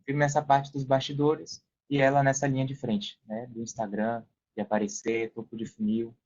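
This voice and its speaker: A man's voice: mid-range voice, monotone, normal speed, a little mellow, highs cut off. A young Brazilian man talking casually as an interview guest, explaining things and often tagging on questions. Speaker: podcast guest, online affiliate marketer working in support.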